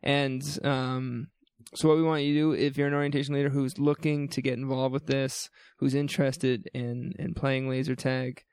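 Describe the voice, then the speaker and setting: A young man with a New Brunswick accent chats on a podcast, tossing out playful jokes and old-fashioned sayings. His pitch sits in the middle of the range. The sound is dry and close, with no room echo. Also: level tone, a little mellow, clear, resonant tone. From New Brunswick, Canada. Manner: jokey, playful, quick asides. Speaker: podcast co-host. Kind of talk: casual chat.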